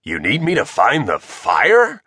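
A man voicing a gruff but friendly pirate father in a children's storybook. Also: medium pitch, huge pitch swings, measured pace, pushed and bright, no room sound, studio quality, full character voice. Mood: confused. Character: Bob, big-hearted bearded pirate dad, gruff but friendly.

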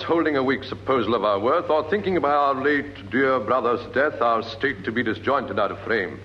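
A stately British king played by a male actor declaims to his court in classical radio drama style. His pitch rises and falls in broad sweeps, smooth and commanding, on a muffled old recording with hiss. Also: close to an everyday voice. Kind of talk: classical Shakespearean radio acting. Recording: dull, noisy vintage radio recording.

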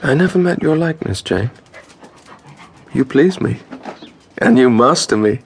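Impassioned, commanding English gentleman. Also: medium pitch, lively intonation, unhurried, gravelly, slight background noise. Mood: tender, masterful. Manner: impassioned, imperious, urgent. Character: ardent, commanding gentleman master in love with his governess. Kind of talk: period radio drama acting.

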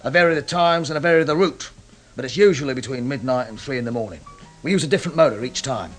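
An actor playing a shady, self-important nightclub boss in a radio crime drama, curt and menacing. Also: male voice, mid-range voice, fast talker, a bit bright, soft background music, natural voice. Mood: curt, explaining. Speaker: nightclub owner character.

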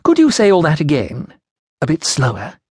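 Audiobook role: green young Roman clerk, read by a male narrator, higher-pitched, hesitant, politely evasive. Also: huge pitch swings, moderate pace, darkish tone, slightly rough, no room sound, studio quality, full character voice. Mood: flustered. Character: flustered, inexperienced young Roman official, awkwardly evasive.

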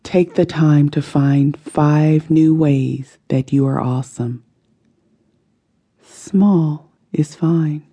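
Slow, very mellow, husky woman meditation narrator. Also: low-pitched, fairly even intonation. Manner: slow, soothing, deliberate delivery with soft phrase endings. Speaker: audiobook narrator.

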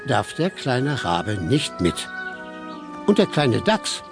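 A male narrator tells a children's story with expressive, swooping intonation and dramatic pauses. His voice has a creaky edge and sits over clear music. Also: medium pitch.

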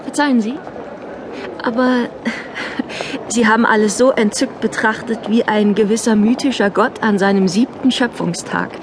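A woman's voice: fairly high pitch, normal speed, noisy background, natural voice. A woman playing a bold, ironic woman of a regimented future in a radio drama, provocative and mocking in her manner. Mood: amused irony. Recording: loud urban outdoor ambience behind.

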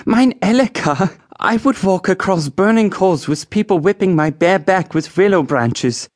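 Casting: smitten young lover for radio play, earnest, florid, close dry sound. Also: male voice, high-pitched, lively intonation, a little slow, dry recording. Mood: ardent, florid. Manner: melodramatic, earnest. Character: ardent, florid young German male suitor, clumsy and eager. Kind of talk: audio drama acting.

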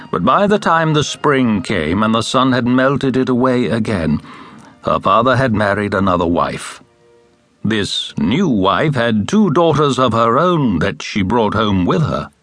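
A man reads a scripted fairy tale with music faintly behind him. His delivery is expressive, and his pitch rises and falls widely as he tells the story. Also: dry recording. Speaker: audiobook narrator.

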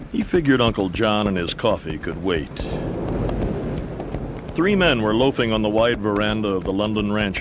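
A man's voice: on the low side, noisy background. A man narrating a dramatized Western story in scripted audiobook style.

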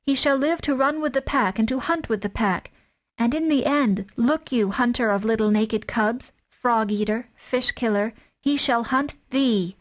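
A woman's voice: a little high, unhurried, natural voice. A woman voicing a fierce, protective mother wolf who speaks with defiant force in a storybook reading.

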